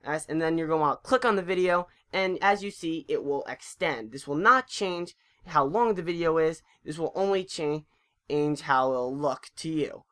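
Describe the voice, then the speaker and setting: Tutorial narrator for a screen recording: informal, chatty, mid-pitched voice, a little stumbling in delivery. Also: moderate pace, a bit bright.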